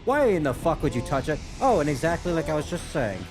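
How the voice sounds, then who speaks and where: A man with a slightly higher-pitched voice talks casually as he watches and reacts, rambling as he thinks aloud. Clear game music plays behind him. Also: very animated, quick pace, rough recording. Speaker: reaction video host. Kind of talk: casual off-the-cuff commentary while watching game cutscenes. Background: game cutscene audio and music.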